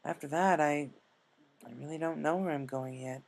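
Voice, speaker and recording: A man's voice: fairly high pitch, moderate pace, vocal fry. A man voicing a proud, stubborn young female pegasus in a story reading. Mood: subdued.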